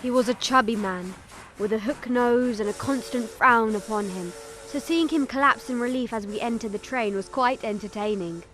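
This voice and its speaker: A woman playing an eager young Punjabi village girl, telling her story in expressive first-person dramatic narration. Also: fairly high pitch, moderate pace, natural voice. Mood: lively.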